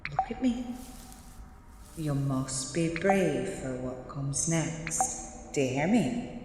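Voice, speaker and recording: Heavy echo wraps around a stern, calm nun with a slightly low voice. She speaks slowly and deliberately, devout yet ominous, as in a horror radio play. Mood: hushed, soothing. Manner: measured, deliberate, cryptic. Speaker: actor playing a nun. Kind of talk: horror audio drama acting. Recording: very echoey stone-like hall, loud background noise.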